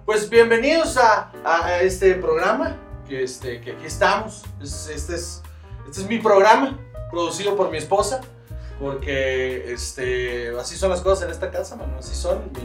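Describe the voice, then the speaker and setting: A man with a Mexican accent and a fairly high voice hosts a casual comedy chat. He talks in a slangy, rambling, joking way. The sound is echoey and set back from the mic, with some music behind. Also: animated, normal speed. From northern coastal Mexico. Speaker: podcast host. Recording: roomy echo, distant mic, music behind.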